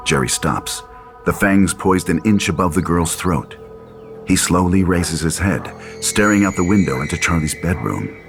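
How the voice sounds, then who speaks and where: Male narrator, very low register, reading horror screenplay directions over prominent music. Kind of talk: scripted screenplay narration, horror table read. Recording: loud music under the voice.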